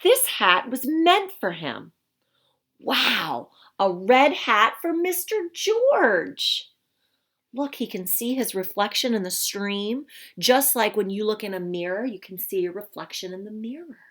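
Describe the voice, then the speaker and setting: Female storybook reader, mid-pitched and highly animated, teacherly and expressive, speaking plainly for young children. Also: measured pace. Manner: expressive, teacherly, explains the pictures simply. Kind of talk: children's read-aloud with explanatory asides.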